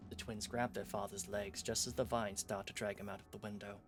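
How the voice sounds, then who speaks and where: A man streaming himself reading visual novel narration aloud, quiet and even, correcting himself now and then. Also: on the low side, fairly quick. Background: game music.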